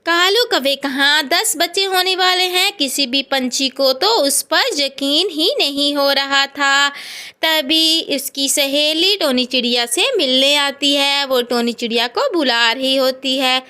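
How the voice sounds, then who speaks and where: Lady reading a scripted children's story, slow drawn-out telling, high sharp voice. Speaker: narrator.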